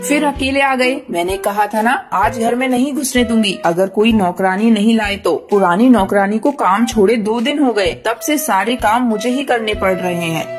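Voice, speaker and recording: A woman plays a proud, cold-hearted crow housewife in an animated moral story. Her voice sits high and she scolds in a bossy, sarcastic way. Light music plays behind her. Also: moderate pace, few pauses, natural voice. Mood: angry nagging. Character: haughty, bitter, hard-hearted female crow, rich wife.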